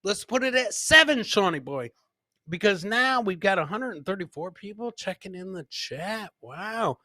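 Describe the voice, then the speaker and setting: A man's voice: high-pitched, animated, normal speed, bright, forceful tone, dry recording. A man hosting a live comedy show, riffing on video clips in a boisterous, jokey way and repeating catchphrases. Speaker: podcast host.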